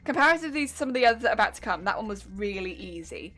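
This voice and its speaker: Young English woman streamer, casual and self-critical, fillers and laughs, higher pitch. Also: animated, racing, fairly bright tone, soft background music. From England. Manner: chatty, self-critical, answers chat questions, frequent fillers and laughs. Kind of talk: casual live-stream chat while sewing.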